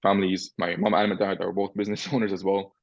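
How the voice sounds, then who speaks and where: Young guy from syria, deepish voice, keeps saying right?, kinda muffled lo-fi mic. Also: little pitch movement, fast talker, vocal fry, no room sound. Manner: explains step by step, often tags "right?". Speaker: podcast guest, entrepreneur. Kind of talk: casual interview chat.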